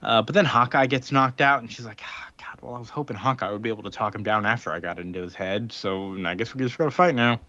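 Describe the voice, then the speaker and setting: A man's voice: fairly low pitch, fast talker, few pauses, sharp tone, dry recording, muffled recording. A man hosting a podcast, recapping in casual chat and loosely acting out dialogue in an informal way. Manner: informal, acts out characters' dialogue in loose paraphrase. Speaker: podcast host.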